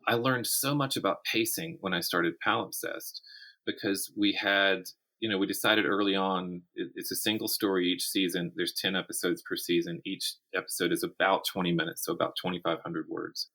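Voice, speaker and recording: Male interview guest, writer type, very low voice, explanatory, works out thoughts aloud. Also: fairly even intonation, moderate pace, bright, forceful tone, rough recording. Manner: explanatory, thinks aloud with self-corrections. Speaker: guest author and audio drama writer. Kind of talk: conversational interview about the writing craft.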